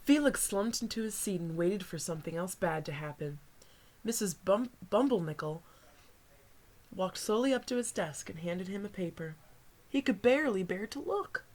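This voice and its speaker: A woman reading a picture book aloud as a teacher to young children, pausing for asides to her listeners. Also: mid-range voice, expressive, darkish tone.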